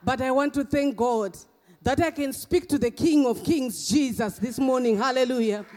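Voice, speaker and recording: A man's voice: extremely high pitch, normal speed, darkish tone, faint music behind. A middle-aged man from Zimbabwe with a Zimbabwean accent mixed with English and Australian tones, preaching an emphatic sermon in a church and breaking often into hallelujahs. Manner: emphatic, punctuated with frequent hallelujahs. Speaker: guest preacher. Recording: long church reverb.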